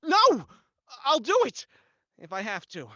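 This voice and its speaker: A man acts out an anxious, henpecked husband in an old radio thriller. The voice is tense and pleading with lively swings of pitch, on a slightly dull recording. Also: a little slow, no room sound, full character voice. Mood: agitated. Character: nervous, hesitant henpecked husband reluctant to kill. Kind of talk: 1940s radio melodrama acting.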